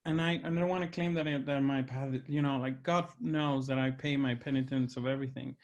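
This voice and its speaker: A man's voice: mid-range voice, steady tone, fairly quick, dull audio. A man with a Mexican accent, hosting a conversation, speaking earnestly and searching for his words. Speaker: host. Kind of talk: reflective conversation.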